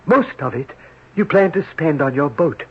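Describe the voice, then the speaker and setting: An actor playing an otherworldly male servant who grants wishes, speaking in a slow, measured, formal way. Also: on the high side, very animated, slightly rough. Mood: sinister calm. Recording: dull old radio broadcast.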